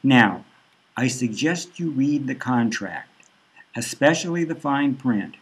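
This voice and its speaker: A man voicing a cold, menacing female bank loan officer who lays out threats in a flat, businesslike way. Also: medium pitch, takes it slowly, breathy edge. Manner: cool, threatening, matter-of-fact.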